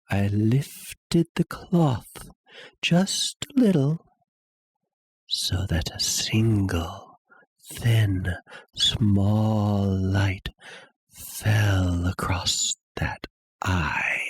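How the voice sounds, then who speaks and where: Man acting a halting, husky madman narrator. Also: on the low side, no echo, full character voice. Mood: hushed, stealthy. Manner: halting, theatrical, with long pauses and sudden swells. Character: obsessed madman confessing a murder, insisting he is sane. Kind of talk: dramatic reading of a classic horror story.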